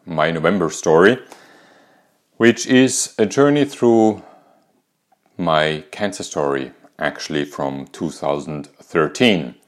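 A man in his fifties with an Austrian accent, hosting a podcast and calmly telling a candid personal story. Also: low-pitched, slow. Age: 50s. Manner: calm, reflective, candid. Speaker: podcast host.

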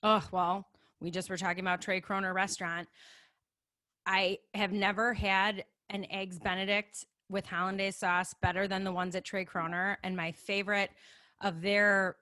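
A woman's voice: medium pitch, level tone, normal speed, pushed and bright, no room sound. A middle-aged woman from the Chicago area with a Chicago accent, chatting as an interview guest and laughing easily at herself. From Chicago area, USA. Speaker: guest, makeup artist. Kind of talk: casual conversational interview.

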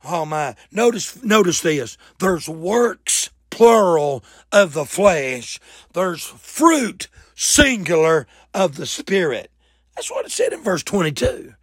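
A man preaching a fervent church sermon in a raspy voice, with emphatic, folksy exclamations and repeated phrases. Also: high-pitched, no echo. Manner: folksy, colloquial.

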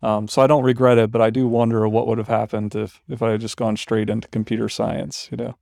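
A man's voice: fairly low pitch, flat delivery, fast talker, gravelly, darkish tone, no room sound, studio quality. A man in his forties, co-hosting a podcast, talking casually in a reflective, rambling way. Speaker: podcast co-host. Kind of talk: casual chat.

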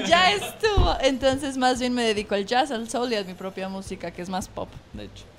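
A woman's voice: fairly high pitch, lively intonation, normal speed, soft background music. A woman with a Mexican accent chatting casually and informally as a singer guest on a show. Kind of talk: casual chat.

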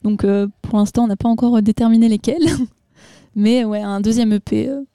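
Young woman with a dark, dry voice. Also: on the high side, steady tone, normal speed, clean tone, dry recording. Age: young adult. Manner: chatty, laughs often, fills with euh. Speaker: singer-songwriter guest. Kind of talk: casual interview chat. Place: festival, indoors. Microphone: microphone.

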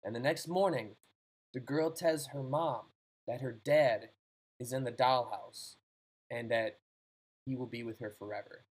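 A man's voice: mid-range voice, a little slow, long pauses, breathy edge, low-quality recording. A man in casual chat, telling short ghost stories in a loose, jokey way. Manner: loose, jokey, swears freely. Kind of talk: casual podcast chat, storytelling.

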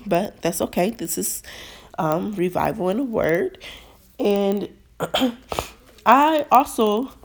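Woman devotional podcaster, unhurried, gravelly, stuffy nose, earnest. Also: fairly low pitch. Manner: slow, earnest, encouraging, with restarts. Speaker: podcast host. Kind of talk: devotional podcast talk with prayer.

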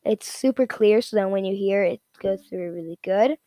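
A woman giving a casual, unscripted product rundown in a halting, repetitive, informal way. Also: mid-range voice, mellow, no echo. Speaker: product reviewer.

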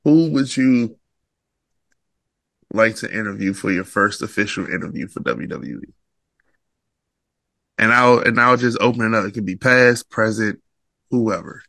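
A man hosting a podcast interview, relaxed and agreeable, asking open questions. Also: fairly low pitch, a little slow, vocal fry, long pauses, no room sound. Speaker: podcast host.

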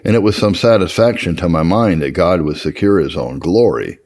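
A man reading an old religious memoir aloud as scripted narration, in a measured, formal and solemn manner. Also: low voice, a little mellow. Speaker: audiobook-style reader of historical religious readings.

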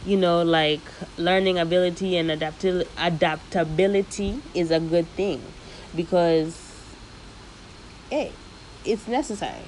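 Chatty woman podcast host, low voice. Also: a little slow, a little hiss or hum, low-quality recording. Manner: lively, chatty, mixes in Swahili slang. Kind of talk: casual solo podcast monologue. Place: living in the United States.

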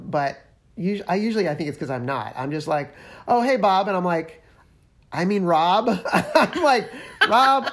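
A man co-hosting a relaxed podcast with his wife. His voice sits fairly high for a man. He is self-deprecating and joking, and often tells stories about his own slip-ups. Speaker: podcast co-host. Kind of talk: casual chat.

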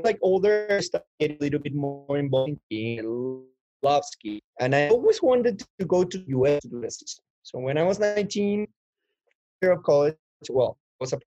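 A man's voice: a little high, lively intonation, moderate pace, mellow, dry recording. Young man from Chile, twenties, rambling guest storyteller, muffled close sound. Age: 20s. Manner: rambling, many restarts and fillers. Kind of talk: casual interview storytelling. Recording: dull lo-fi dry sound.

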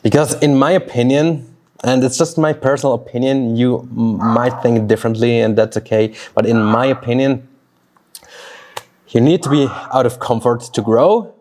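A man in his thirties from Switzerland, a native Swiss German speaker, thinking aloud in a personal vlog with pauses and restarts. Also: mid-range voice, fairly even intonation, a little mellow, slight background noise. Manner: reflective, talks slowly with pauses and restarts. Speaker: fitness coach. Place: outdoors near a road.

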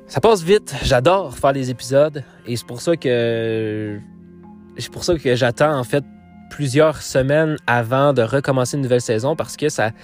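A man from Quebec speaks with a Quebec French accent as he wraps up his podcast. He talks casually, circling back with fillers and restarts, at a middle pitch. Faint music plays under his voice. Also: normal speed. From Quebec, Canada. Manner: rambling, many fillers and restarts. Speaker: podcast host. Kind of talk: casual solo podcast outro.

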